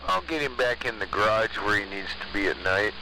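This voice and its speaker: A low-pitched American man makes relaxed small talk over CB radio. His voice comes through a hissy, clearly noisy transmission, as casual chat between operators. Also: a little fast. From United States. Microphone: CB radio microphone.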